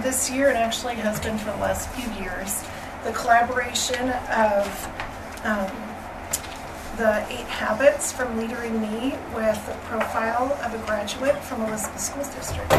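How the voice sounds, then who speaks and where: Woman, hoarse, slightly high voice, chatty presenter, distant mic, noisy room. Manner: friendly, chatty, frequent ums and throat clearing. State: losing her voice, hoarse. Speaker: elementary school staff presenter. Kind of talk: slide presentation at a school board meeting. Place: school board meeting room.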